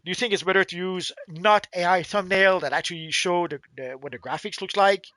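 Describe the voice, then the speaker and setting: Hesitant high-voiced man. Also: fairly quick, pushed and bright, no echo. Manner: hesitant, many "uh" fillers and repeated words. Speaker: stream co-host. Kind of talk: casual livestream chat.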